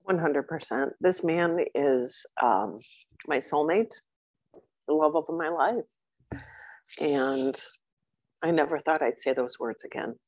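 A woman's voice: sits low, slow, pauses often, no echo, rough recording. A middle-aged woman talking openly as an interview guest, chatty and candid with frequent ums. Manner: chatty and open, with many ums and warm asides. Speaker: interview guest, coaching client.

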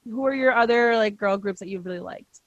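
A woman's voice: mid-range voice, brisk, dry recording, dull audio. A young woman hosting a podcast, talking in a bubbly, playful way with slangy asides. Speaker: podcast host. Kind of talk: casual podcast interview.

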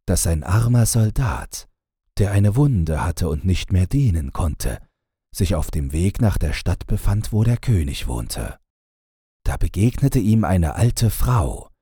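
A man's voice: low-pitched, big pitch swings, slow, warm tone, breathy edge, dry recording, studio quality. A man narrating a fairy tale as a scripted audiobook reading.

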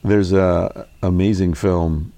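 A middle-aged man hosting an interview, chatty and curious, asking questions in a relaxed conversational way. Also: low-pitched, slow pace, no echo. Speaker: podcast host.

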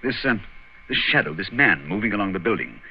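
An actor playing a brilliant, courteous private detective in an old radio drama, with a British accent and a measured, politely insistent manner. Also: male voice, sits low, big pitch swings. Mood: probing, courteous. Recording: dull, hissy old radio broadcast.

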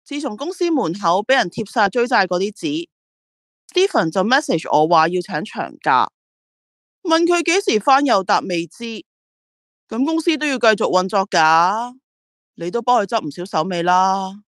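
A woman's voice: mid-range voice, very animated, moderate pace, no echo, natural voice. An actress plays a driven woman who runs an ad agency in a radio drama. She speaks with a Hong Kong Cantonese accent and very varied intonation, by turns proud and reflective. The recording is dry. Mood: businesslike. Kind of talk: radio drama dialogue and monologue.

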